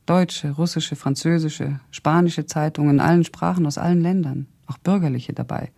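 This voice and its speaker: Educated young russian woman in a radio drama, gravelly soft-dark voice, thoughtful precise storytelling. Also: deep voice, fairly even intonation, moderate pace, exaggerated voice. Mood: sober. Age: young adult. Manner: reflective, precise, descriptive storytelling. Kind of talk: radio drama monologue as recollection.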